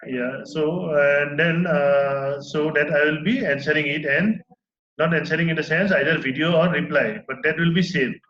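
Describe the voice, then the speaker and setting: A man's voice: a little high, moderate pace, fairly bright tone. A man talking shop as an instructor, hesitant and full of fillers. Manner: hesitant, many fillers and restarts. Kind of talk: casual technical conversation. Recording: roomy, dull lo-fi recording.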